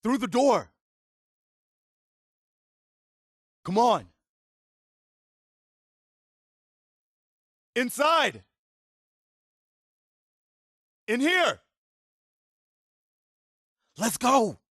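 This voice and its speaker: An actor playing an earnest, weary militia soldier in a video game, loyal and humble, with a sincere, plain-spoken manner. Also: male voice, extremely high pitch, very animated, takes it slowly, fairly bright tone, slightly rough, no room sound, clean studio sound, heavily acted. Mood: urgent shouting. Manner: sincere, plain-spoken, hesitant when opening up. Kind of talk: video game character dialogue.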